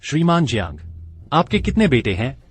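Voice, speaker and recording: A man dubbing a formal academic official who speaks in a stern, measured way. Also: mid-range voice, wildly swooping pitch, slightly rough. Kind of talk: Hindi dub of a drama.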